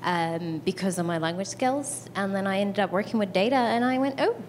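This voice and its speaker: A woman's voice: mid-range voice, brisk, darkish tone, a little hiss or hum. Chatty woman in her thirties. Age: 30s. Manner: chatty and self-deprecating, acts out her own reactions. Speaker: audience member asking a question. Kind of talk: question from the floor.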